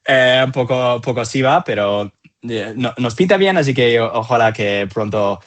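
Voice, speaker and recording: A man in his thirties with an American accent and English as his first language, answering interview questions in halting phrases with repeated words. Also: mid-range voice. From Atlanta, southeastern United States. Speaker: company founder and CEO, interview guest.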